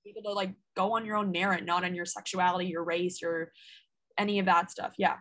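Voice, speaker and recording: A woman's voice: lowish voice, a little fast. A woman interviewer talking casually and animatedly, often saying "like" as she works through her points. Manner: animated, full of "like" fillers.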